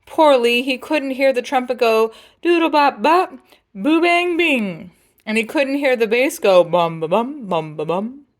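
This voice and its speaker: A woman's voice: a little high, animated, a little slow. A woman reading a picture book aloud as a storyteller, with playful, dramatic phrasing and jazzy sound effects.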